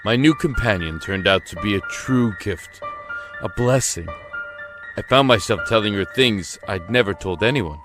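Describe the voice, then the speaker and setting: A man playing a despairing, regretful prisoner in a dark cell, speaking haltingly and reflectively in a dramatic audio monologue. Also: lowish voice, expressive, close to an everyday voice. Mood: wistful. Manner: halting, reflective, emotive delivery. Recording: echoey, with music underneath.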